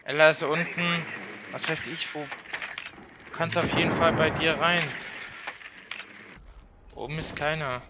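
A man's voice: fairly high pitch, steady tone, slow, pauses often, heavy background noise. A man chatting casually while gaming, giving short callouts and grumbling at his teammates. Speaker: gamer recording gameplay with friends.

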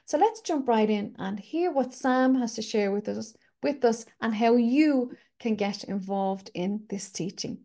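A woman's voice: a little high, darkish tone. A woman in her fifties hosting a conversational interview over a video call from a small room. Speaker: interview host.

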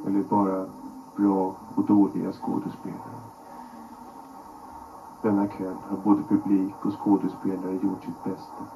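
A man reading a reflective scripted narration in measured, literary phrasing. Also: low voice, vocal fry. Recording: dull, noisy voice track.

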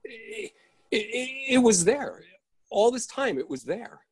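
A man's voice: extremely high pitch, sing-song, slow, gravelly, breathy edge, no echo, full character voice. An actor playing a fussy, flustered man unsettled by change, emphatic and stammering in a play reading. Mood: indignant, incredulous. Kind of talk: script read-through of a one-act play. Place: indoors at home on a video call.

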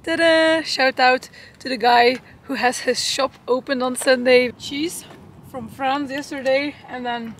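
High-voiced cheerful young woman vlogging. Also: fairly even intonation, unhurried, slight background noise. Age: young adult. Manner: cheerful, chatty, addresses viewers directly. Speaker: travel vlogger. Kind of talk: casual travel vlog talk to camera. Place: outdoors by a road and a lake. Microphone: handheld camera at arm's length. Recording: music under the voice.

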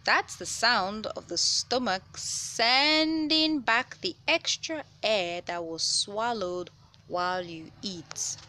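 A woman reading a children's science picture book aloud, with measured, clear phrasing for young listeners. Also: mid-range voice, lively intonation, sharp tone. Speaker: children's read-aloud host. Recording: noticeable background noise.

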